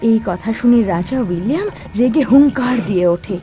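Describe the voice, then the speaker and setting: A woman's voice: on the high side, expressive, normal speed, over music. A woman narrating a cartoon moral tale in a dramatic, scripted storytelling style.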